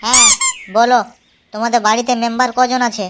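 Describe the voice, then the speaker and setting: A man's voice: extremely high pitch, huge pitch swings, brisk, exaggerated voice. A man voicing an eager, naive young village census worker in a comedy cartoon, chatty and repetitive, with a rural West Bengal Bengali accent. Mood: chatty, questioning. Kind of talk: amateur comedy cartoon dialogue. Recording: loud background noise, clicky home dub.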